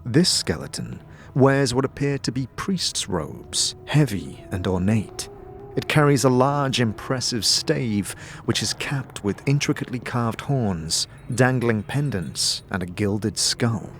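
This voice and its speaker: A man narrating a scripted fantasy audio drama in a measured, descriptive storytelling manner. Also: medium pitch, expressive, soft background music.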